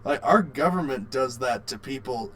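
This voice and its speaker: A man's voice: mid-range voice, expressive, normal speed. A man co-hosting a casual podcast, talking informally with repeated words and some swearing. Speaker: podcast co-host. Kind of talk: casual chat about video games and films.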